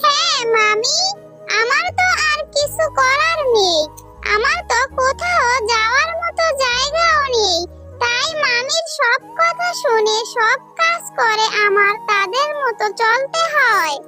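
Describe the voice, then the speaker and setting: Performer as little orphan girl, sorrowful, pleading, very high voice, cartoon dialogue, loud music behind. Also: lively intonation, slow pace, heavily acted. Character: sad, meek orphan girl, pleading and obedient.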